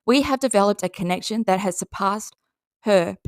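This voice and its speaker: A woman's voice: medium pitch, moderate pace, no room sound, studio quality. A woman with an Australian accent giving casual, sarcastic commentary and reading posts aloud in a blunt, mocking way. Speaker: commentary video host.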